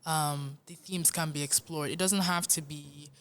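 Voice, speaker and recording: A young woman with a Nigerian accent talks through her life and music in a relaxed interview. Her voice sits low for a woman, yet she speaks with lively, earnest energy and breaks into easy laughter. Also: unhurried. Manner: animated, laughs often, earnest. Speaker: musician guest. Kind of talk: casual interview talk.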